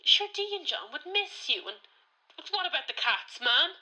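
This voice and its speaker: A woman's voice: sits high, big pitch swings, normal speed, bright, forceful tone, exaggerated voice, poor audio. A woman playing a worried, nagging Irish daughter, exasperated and insistent in a comic radio-play voicemail. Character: worried, nagging grown daughter pleading with elderly mother. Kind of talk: radio comedy voicemail monologue.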